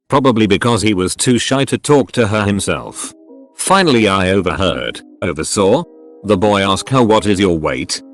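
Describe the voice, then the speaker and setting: A man reading online posts aloud as a flat, even scripted narration. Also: fairly low pitch. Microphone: voice-over. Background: soft background music.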